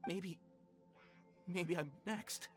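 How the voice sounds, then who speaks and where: A man's voice: sits high, very slow, a bit bright, soft background music, full character voice. A man playing an anxious, shaken mine worker in a video game, hesitant and stammering. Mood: fearful. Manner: hesitant, stammering, repeats words.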